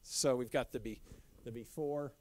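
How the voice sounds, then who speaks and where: A man's voice: medium pitch, fairly even intonation, darkish tone. A middle-aged man giving a casual slide talk in a dim lecture room, with many restarts and fillers. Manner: loose, halting, frequent restarts and fillers. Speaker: caving club presenter.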